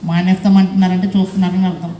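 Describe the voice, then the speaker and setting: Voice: mid-range voice, completely flat delivery, rapid-fire, mellow, lightly acted. A puppeteer voicing an earnest, obedient, repentant boy puppet who preaches simply to children in a church puppet show. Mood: earnest, preachy. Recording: echoey hall, background noise.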